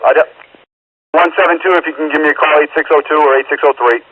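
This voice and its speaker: A man's voice: fairly high pitch, steady tone, fast talker. A man working as a police dispatcher, giving brief, procedural replies over police radio. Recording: tinny phone-like scanner radio with static.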